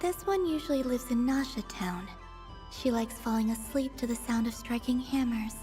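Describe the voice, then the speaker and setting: A woman voicing a gentle, innocently curious young goddess in a video game, speaking politely and hesitantly. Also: fairly high pitch, normal speed, lightly acted. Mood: pondering. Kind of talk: soft-spoken video game voice acting. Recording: music under the voice.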